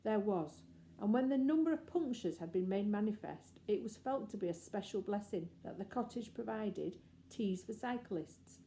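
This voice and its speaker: A woman's voice: medium pitch, expressive, normal speed, warm tone, slight background noise. A woman reading a children's storybook aloud as scripted narration. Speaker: audiobook reader.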